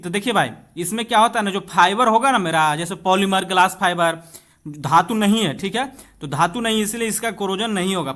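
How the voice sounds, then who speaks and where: A man's voice: sits high, bright, forceful tone. A man with an Indian accent teaching an exam-prep lesson, reading questions aloud and explaining them directly to students in an instructive way. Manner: instructive, addresses students directly, slows down when reading out English.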